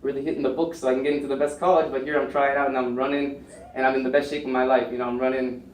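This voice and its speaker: A man's voice: medium pitch, level tone, very fast. American guy talking at a panel, lots of you know and like, roomy sound. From United States. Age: young adult. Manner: rambling, many fillers and restarts. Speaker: panel speaker. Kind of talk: casual storytelling talk. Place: lecture room. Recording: echoey room, mic far off, faint noise.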